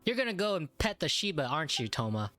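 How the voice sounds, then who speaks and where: A woman's voice: deep voice, very animated, quick pace, a bit bright, soft background music. A woman giving casual gameplay commentary, reacting in slang and reading dialogue lines aloud. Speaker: gamer reacting to a game.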